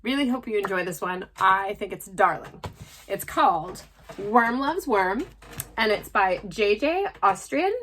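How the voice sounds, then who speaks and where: A woman's voice: mid-range voice, lively intonation, measured pace, a bit bright, slight background noise. A woman presenting a picture book read-aloud for children, with affectionate, chatty asides. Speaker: storytime presenter.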